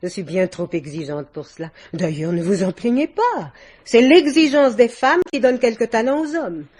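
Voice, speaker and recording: A woman's voice: a little high, expressive, normal speed, darkish tone, slightly rough. An actress playing a worldly, domineering older society woman in a vintage French radio drama, theatrical and effusive with little laughs. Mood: gushing, flattering.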